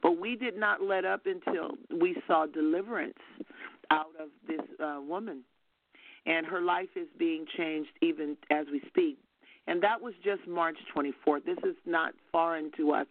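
An older woman minister, soft-spoken but fervent, telling a story as testimony on a call-in broadcast. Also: deep voice, moderate pace. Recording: phone-line audio.

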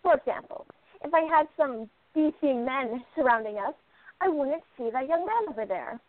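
A woman's voice: high voice, animated, moderate pace, no room sound. A woman playing a curious, confident exiled princess turned sleuth in a radio play. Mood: firm. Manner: confident, insistent. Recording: thin phone-like sound, noisy line.

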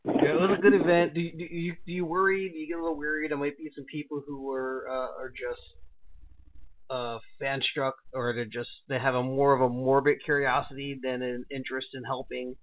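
A man of middle pitch hosts a casual podcast interview. He chats conversationally and prompts his guest with questions. His voice comes through a tinny, phone-like line. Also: level tone, a little fast. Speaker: podcast host.

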